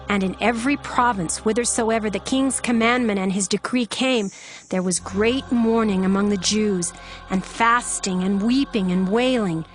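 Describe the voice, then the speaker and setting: A woman giving solemn, measured dramatic narration of scripture, with music behind her. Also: on the high side, fairly bright tone. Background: background music.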